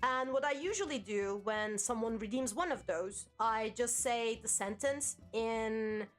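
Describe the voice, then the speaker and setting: A woman's voice: on the high side, a little slow, faint music behind. A woman in her thirties, a native Turkish speaker, chatting casually on a livestream in a rambling, self-mocking way and swearing now and then. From Turkey. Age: 30s. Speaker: VTuber streamer.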